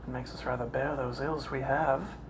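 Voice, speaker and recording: A performer playing a brooding Shakespearean prince in a theatrical soliloquy, deliberate and pausing, in a home recording. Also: mid-range voice, steady tone, natural voice. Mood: grave, troubled. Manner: deliberate, pausing, dropping to whispers. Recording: noisy amateur home recording.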